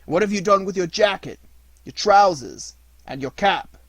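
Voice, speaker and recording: A man's voice: high-pitched, animated, moderate pace, breathy edge, no echo, pitched above the performer's own voice. A man voicing a little old cottager in a storybook, blunt and emphatic but kindly. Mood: suspicious. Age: elderly.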